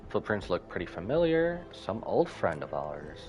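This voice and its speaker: A man's voice: low voice, sing-song, normal speed, darkish tone, soft background music. A man live streaming a game, casually commenting and reading dialogue aloud.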